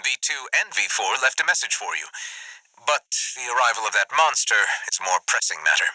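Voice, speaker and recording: A man voicing a polite but scheming, ambitious corporate military officer in a video game, speaking with formal, crisp diction. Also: mid-range voice, big pitch swings, fairly quick, pushed and bright, no echo, rough recording. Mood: formal briefing. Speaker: military liaison giving mission briefings.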